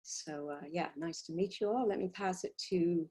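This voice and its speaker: A woman's voice: fairly low pitch, moderate pace, warm tone. A woman panelist introducing herself casually and briefly on an online call.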